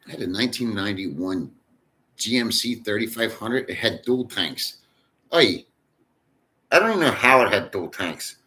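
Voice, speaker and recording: Male streamer, low-pitched, crisp forceful tone, swears constantly, loose rambling chat delivery. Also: expressive, moderate pace. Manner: crude, profane and rambling. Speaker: livestream host reading chat. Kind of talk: casual livestream chat.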